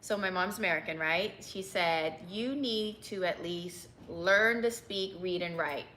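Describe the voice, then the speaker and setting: امرأة منخفضة الصوت تروي قصتها. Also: female voice, fairly low pitch, measured pace, slight background noise, poor audio. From Texas and Bangkok, Thailand. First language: Thai. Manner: warm storytelling with frequent ums and laughs. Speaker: restaurant owner and chef. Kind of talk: candid interview.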